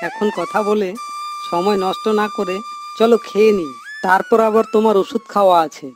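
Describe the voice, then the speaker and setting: A man's voice: sits high, huge pitch swings, moderate pace. Cartoon son and husband, played by a man: earnest, concerned, chiding delivery, faint music bed. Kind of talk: cartoon moral story voice acting.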